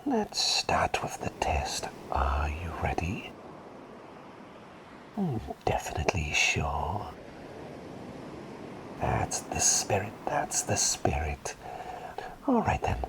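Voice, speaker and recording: Man playing a slow, whispering, whimsical plague doctor. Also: a bass voice, huge pitch swings, exaggerated voice. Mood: gentle, whimsical. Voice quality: breathy whisper. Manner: rambling, polite, hesitant, repeats phrases softly. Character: forgetful, gentle, whimsical male plague doctor. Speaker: ASMR roleplayer. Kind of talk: soft-spoken ASMR roleplay.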